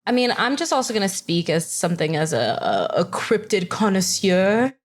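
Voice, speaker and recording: A woman co-hosting a casual comedic chat, joking and ranting in an animated, sweary way. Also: mid-range voice, moderate pace. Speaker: co-host.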